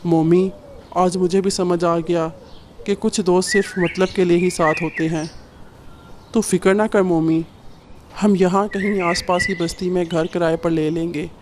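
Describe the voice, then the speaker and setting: Guy doing a hot-tempered cartoon bird dad, pretty high voice, dramatic, some noise behind. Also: fairly even intonation, a little slow, a little mellow. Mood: remorseful, earnest. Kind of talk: Hindi cartoon story dialogue.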